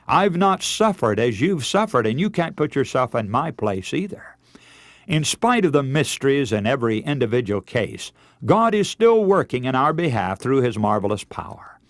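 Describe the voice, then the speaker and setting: Radio preacher with sweeping, expressive pitch. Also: male voice, dry recording, studio quality. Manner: earnest, measured oratory with rhetorical questions. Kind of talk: radio sermon, scripture reading and exhortation.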